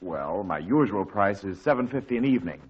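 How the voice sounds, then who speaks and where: Smooth businesslike bandleader, husky, polite; dull old radio sound. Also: male voice, on the low side, lively intonation, a little fast. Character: suave adult male bandleader, smooth and businesslike. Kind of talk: 1940s radio acting.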